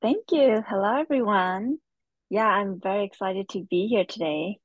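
Soft-spoken hesitant young woman, dry sound. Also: medium pitch, moderate pace, dry recording, highs cut off. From Stockton, California. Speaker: guest. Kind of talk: telling her life story in an interview.

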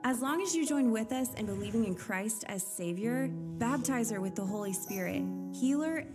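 Female voice-over, scripted and upbeat, slightly high, with loud music underneath. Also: animated, normal speed. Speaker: video narrator. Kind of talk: scripted narration.

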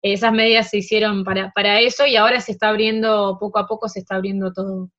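A woman with an Argentine Spanish accent answering interview questions in a relaxed, halting way, full of fillers and restarts. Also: mid-range voice. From France. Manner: hesitant, many fillers and restarts. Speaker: table tennis player, interview guest. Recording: dull lo-fi sound.

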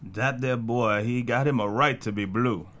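A man playing a weary, bitter old man from the in a screenplay table read, speaking slowly. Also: mid-range voice, fairly bright tone, close to an everyday voice. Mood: grave, bitter.

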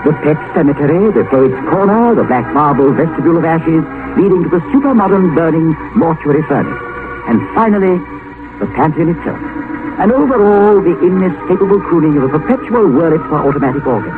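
An actor playing a fussy, diffident, bookish middle-aged English scholar in a 1950s radio drama. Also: male voice, on the high side, lively intonation, fairly quick, natural voice. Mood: wry, ornate. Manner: flustered, stammering, polite. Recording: narrow-band vintage radio broadcast with music.

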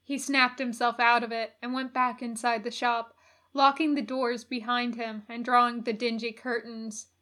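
A woman narrates a piece of fiction in a bright, forward voice. Her pitch sits a little high, and she reads in an expressive, prepared storytelling style. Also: moderate pace. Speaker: audiobook reader.

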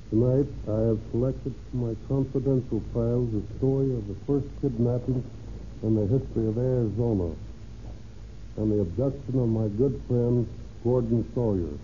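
Male police official introducing a 1930s radio show, stiff scripted delivery, very dark tone, muffled recording. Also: medium pitch. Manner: formal, stiff, read from script. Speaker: police official presenting the program. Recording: muffled, faintly hissy old radio recording.

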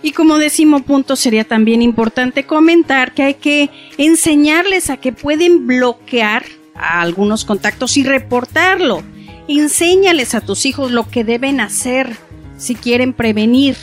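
A woman's voice: high-pitched, unhurried, soft background music. A woman presenting advice for parents in a plain, explanatory way, dotted with filler words. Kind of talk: informational talk.

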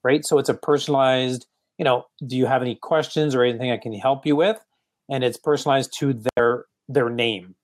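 A man with a mild French accent talks in a relaxed, casual way about how he follows up with home buyers. His sentences stall and restart, padded with "you know" as he works out what to say. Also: mid-range voice. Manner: halting, restarts often, many "you know"s. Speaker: real estate agent. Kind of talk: casual coaching conversation.

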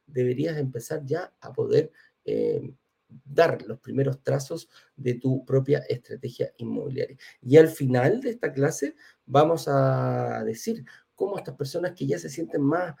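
Man, Chilean accent, live webinar host, chatty, informal, gravelly voice, animated intonation. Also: medium pitch, a little slow. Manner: chatty, informal, uses affectionate diminutives. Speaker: host of a live real estate investment class.

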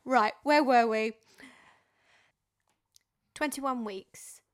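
A young pregnant woman with a Dartford accent, co-hosting a casual chat, teasing and quick to laugh. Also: fairly high pitch. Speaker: podcast co-host.

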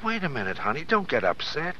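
An actor playing a scheming, two-timing American crook, slangy and insistent, in an old-time radio drama. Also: male voice, on the high side, sing-song, fast talker, pushed and bright, a little hiss or hum. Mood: oily, pushy. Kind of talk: 1930s-40s American radio drama acting. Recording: dull old radio recording.

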